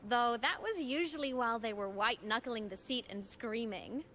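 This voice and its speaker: A woman's voice: fairly high pitch, moderate pace, fairly bright tone, slight background noise, close to an everyday voice. An actress playing a confident, nostalgic woman with a daredevil past in video game story dialogue. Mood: playful, nostalgic.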